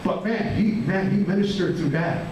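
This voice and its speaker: Middle-aged preacher guy, high voice, keeps saying amen and restarting, heard from far off in a small church hall. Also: a little mellow, slight background noise. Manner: says "amen" after nearly every phrase, halting restarts. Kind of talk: sermon. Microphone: handheld mic, filmed from a far camera. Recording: very echoey room, distant camera.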